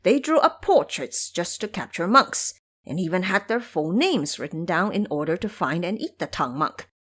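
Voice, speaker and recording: Woman as a blunt, exasperated, animated woodcutter. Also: lowish voice, normal speed, breathy edge, exaggerated voice. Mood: grim warning. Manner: scolding, emphatic. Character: blunt, exasperated male woodcutter warning travelers. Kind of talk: audio drama storytelling.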